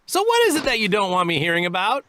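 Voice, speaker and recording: Bright, cheerful grown male fighter. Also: mid-range voice, wildly swooping pitch, quick pace, slight background noise. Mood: playful, coaxing. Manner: folksy, playful, coaxing. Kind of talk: anime game dubbing.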